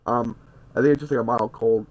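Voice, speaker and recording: A man's voice: medium pitch, steady tone, a little fast, highs cut off. A young man with a stuffy nose and scratchy throat, reading a visual novel aloud on stream with casual asides. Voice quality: nasal. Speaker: game streamer.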